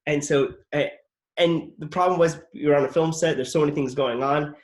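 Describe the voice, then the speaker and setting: A man's voice: fairly high pitch, fairly even intonation, fairly quick. A man hosting a casual interview show, telling stories from his acting work in a loose, rambling way full of "like" and restarts. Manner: rambling, heavy use of "like" and restarts. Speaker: podcast host and actor.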